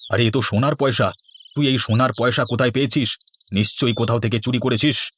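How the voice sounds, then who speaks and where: Cartoon elder brother, played by a man: lazy, greedy bully, excitable and pushy, mid-pitched, noisy track. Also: quick pace, natural voice. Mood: suspicious. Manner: excitable, pushy, exclaiming and repeating words. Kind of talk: Bengali moral-tale cartoon voice acting.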